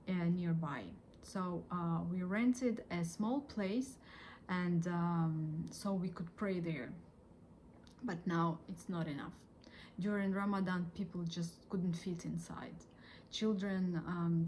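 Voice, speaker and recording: A woman speaks in a lowish voice, telling her own faith story earnestly and gently. She pauses often and fills the gaps with soft "um"s and "uh"s. A faint hiss sits under her words. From Russia. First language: Russian. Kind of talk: personal testimony.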